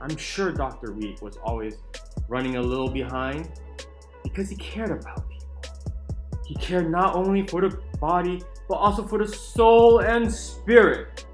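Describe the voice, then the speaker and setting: A man's voice: on the high side, animated, normal speed. Theatrical man reading aloud in a roomy space. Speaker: channel narrator reading a book aloud. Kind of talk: dramatic reading of a book foreword. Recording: roomy echo with music underneath. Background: soft chill music.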